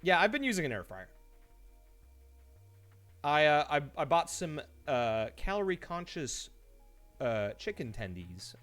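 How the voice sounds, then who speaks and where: Man, live streamer, slightly high voice, casual chat, animated storytelling, soft background music. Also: unhurried, long pauses. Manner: animated storytelling, mimics his own reactions; laughs easily while talking.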